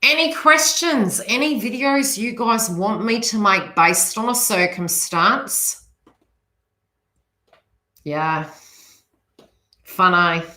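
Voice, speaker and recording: Bright-voiced woman, echoey room. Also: mid-range voice, expressive, slow pace, pauses often. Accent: slight New Zealand. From New Zealand. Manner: rambling, conversational asides to viewers. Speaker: host, psychic reader chatting with live viewers. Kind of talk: casual livestream chat, spiritual advice. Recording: roomy, echoey sound.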